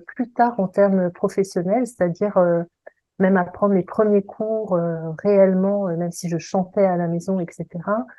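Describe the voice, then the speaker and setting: A woman from the Paris region with a French accent, talking conversationally about herself as an interview guest, hesitant and pausing often. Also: fairly low pitch, dark tone, no room sound, poor audio. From Paris region, France. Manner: hesitant, halting delivery with many pauses. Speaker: interview guest, voice coach and singing teacher. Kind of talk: conversational personal account.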